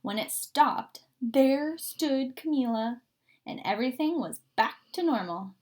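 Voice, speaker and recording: A woman reading a children's story aloud expressively and deliberately, stretching words for emphasis. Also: high-pitched, animated. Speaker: story reader.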